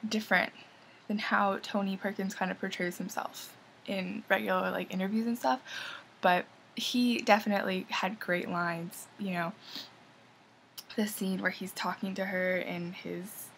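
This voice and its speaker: A woman's voice: medium pitch, steady tone, a little slow, gravelly, a bit bright, a little hiss or hum. A young woman casually reviewing the films she watched, rambling and enthusiastic with lots of fillers. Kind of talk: casual movie review vlog.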